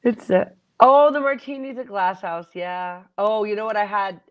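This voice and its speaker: A woman entertainer joining a casual interview over a video call, relaxed and laughing often, with trailing sentences. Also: mid-range voice, normal speed. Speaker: guest entertainer. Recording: dull lo-fi call audio.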